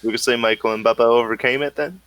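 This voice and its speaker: A man's voice: mid-range voice, steady tone, quick pace, fairly bright tone. A man acting as game master, asking players questions in a tabletop game wrap-up. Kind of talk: running end-of-session tabletop game recap.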